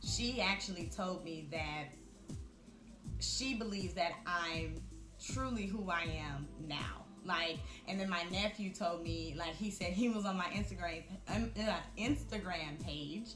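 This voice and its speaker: A woman in her thirties talking to camera in a reflective, chatty way, often pausing with fillers. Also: on the low side. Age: 30s. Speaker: vlogger. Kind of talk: casual talk to camera. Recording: echoey room with music behind.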